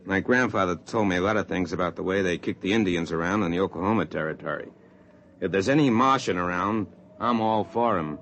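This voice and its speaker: A man's voice: sits low, a little fast, a bit bright. An actor playing a plainspoken, folksy spaceman in a 1950s radio drama. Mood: earnest. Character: easygoing male spaceman of Cherokee descent, plainspoken crewmate. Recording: faintly noisy vintage 1950s radio recording.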